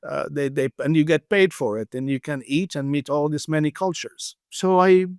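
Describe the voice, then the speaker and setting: A man's voice: fairly high pitch, normal speed, a little mellow, dry recording, studio quality. A man in his fifties from Morocco, with a Moroccan accent, telling his story conversationally as an interview guest. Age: 50s. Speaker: interview guest, restaurant founder.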